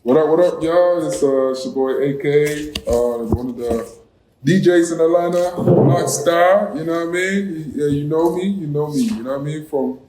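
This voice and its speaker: A man's voice: a little high, normal speed, warm tone, reverberant, slight background noise, rough recording. A man co-hosting a chat show, talking in a hype, slangy way. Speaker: co-host DJ. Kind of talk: casual chat.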